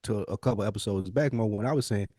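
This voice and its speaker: A man's voice: lowish voice, fast, dark tone, no echo, studio quality. An American man in casual podcast conversation, speaking emphatically in slang and acting out imagined dialogue. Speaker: podcast co-host.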